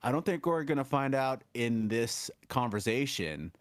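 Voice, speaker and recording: A man's voice: mid-range voice, very animated, normal speed, no room sound. A man co-hosting a casual podcast as the learner, joking and self-deprecating about his skills. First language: English.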